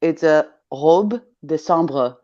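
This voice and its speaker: A woman's voice: low-pitched, slow pace, warm tone. A woman in her thirties with a Greek accent, chatting playfully and teasingly as co-host. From Greece. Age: 30s. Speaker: show co-host. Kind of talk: casual chat. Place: at home on a video call. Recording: dull lo-fi call audio.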